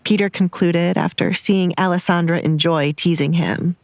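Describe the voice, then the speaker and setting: A woman narrates a scripted drama. Her pitch is on the low side, and the sound is dry, with no room on it. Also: fairly even intonation, normal speed, no room sound.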